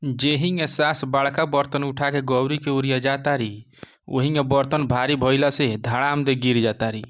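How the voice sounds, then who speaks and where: A man's voice: mid-range voice, fairly even intonation, normal speed. A man with a Bhojpuri accent narrating a scripted moral story.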